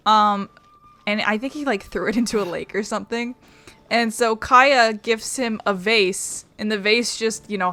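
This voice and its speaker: A woman's voice: mid-range voice, sharp tone. A young woman giving casual let's play commentary, chatting and rambling as she goes. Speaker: gaming commentator. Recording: faint game music behind the voice.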